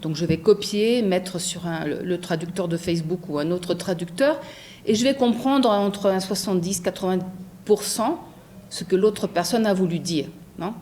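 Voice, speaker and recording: A middle-aged woman from France, a translator, answering interview questions thoughtfully with many pauses and a habit of asking "non?". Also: on the low side, darkish tone, a little hiss or hum. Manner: thoughtful, many fillers, frequent tag "non?".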